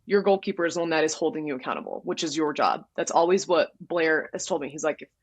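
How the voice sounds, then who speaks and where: A young woman from Texas, a college goalkeeper telling stories in a loud, direct, animated way during a casual interview. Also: low voice, quick pace, breathy edge. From Dallas, Texas. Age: young adult. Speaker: soccer goalkeeper, guest.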